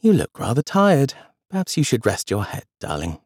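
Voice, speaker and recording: A man voicing a kind, gently teasing old grandmother talking to her grandchildren. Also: mid-range voice, huge pitch swings, normal speed, breathy edge, no room sound, clean studio sound, voice pushed up, full character voice. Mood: fond. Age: older. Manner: gentle, amused.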